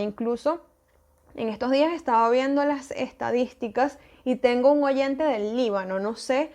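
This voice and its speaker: A woman's voice: a little high, darkish tone. A woman with a Venezuelan Spanish accent, hosting a podcast and talking in a thoughtful, casual way, with many fillers and restarts. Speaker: podcast host. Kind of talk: casual solo podcast talk.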